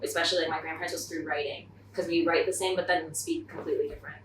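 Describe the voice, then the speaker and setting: A woman's voice: on the low side, racing, a little hiss or hum. A young woman from Thailand, a podcast guest chatting casually and acting out the remarks people make to her. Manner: chatty, animated, acts out quoted remarks. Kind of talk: casual conversation. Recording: roomy sound from a distant mic.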